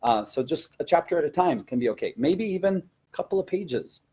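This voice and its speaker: A man's voice: a little high, animated, fairly quick, warm tone, slightly rough. A man who teaches elementary language classes, giving informal, encouraging and conversational advice in an instructional webinar.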